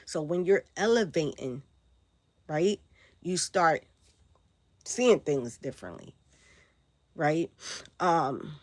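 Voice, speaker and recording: A woman from Brooklyn with a New York accent, hoarse and raspy from a sore throat, talking loosely on a live stream and often asking "right?". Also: sits low, lively intonation, takes it slowly, long pauses, a little mellow, no echo. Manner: rambling, loose, frequent "right?" and "like". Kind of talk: casual live-stream talk answering chat.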